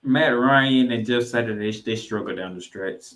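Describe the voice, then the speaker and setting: A man's voice: mid-range voice, normal speed, a bit bright. Roomy-sounding man hosting a sports podcast. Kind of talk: sports recap commentary with stats. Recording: roomy, echoing room sound.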